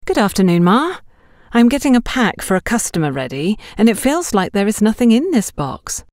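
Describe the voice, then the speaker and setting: A woman's voice: medium pitch, sing-song, normal speed, a little mellow, no echo, clean studio sound, pitched above the performer's own voice, natural voice. A woman voicing a polite, eager young female shop assistant in a story, with a deferential manner. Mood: nervous.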